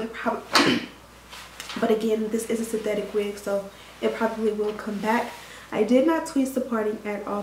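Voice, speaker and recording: A woman reviewing a wig for her viewers in casual chat, her voice hoarse and scratchy from a cold, with many fillers. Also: on the high side, darkish tone. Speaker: beauty vlogger reviewing a wig. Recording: roomy room sound, mic at a distance, faint noise.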